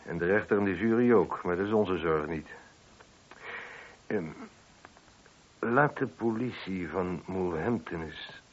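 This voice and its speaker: An actor playing a shrewd, dry and somewhat testy police inspector in a radio detective play. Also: male voice, low-pitched, unhurried, long pauses. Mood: stern, curt. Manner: dry, probing, curt. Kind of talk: radio drama acting. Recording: hissy, dull old radio recording.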